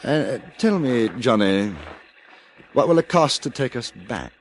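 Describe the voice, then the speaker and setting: An actor playing a cool-headed frontier gentleman who narrates in the first person, understated and wry, in a 1950s radio drama. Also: mid-range voice, lively intonation, measured pace, close to an everyday voice. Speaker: narrator and lead character. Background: music.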